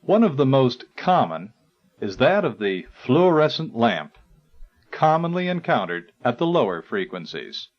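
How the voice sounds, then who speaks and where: Lo-fi, slow-paced male narrator. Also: mid-range voice, big pitch swings. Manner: formal, measured, articulate delivery. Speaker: narrator of an instructional audio guide. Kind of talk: scripted educational narration. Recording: dull, lo-fi 1980s tape recording.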